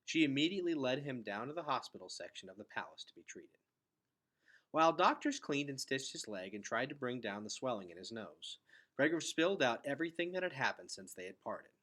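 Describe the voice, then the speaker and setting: A man reading a children's novel aloud in an expressive storytelling style. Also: mid-range voice, fairly quick. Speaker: read-aloud reader.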